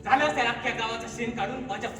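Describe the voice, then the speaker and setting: A man's voice: extremely high pitch, rapid-fire. A man on stage playing a bitter, greedy village husband who berates and mocks his wife. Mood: scolding. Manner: harsh, mocking, berating. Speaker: stage actor. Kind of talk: stage acting in a one-act play. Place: theatre stage. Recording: echoey hall, far mic, music.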